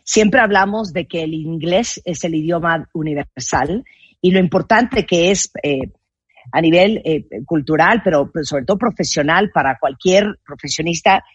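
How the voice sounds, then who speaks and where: Mexican woman host, enthusiastic, husky voice, dull dry recording. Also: on the low side, normal speed, dry recording. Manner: enthusiastic, praising. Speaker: interviewer, host. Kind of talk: interview.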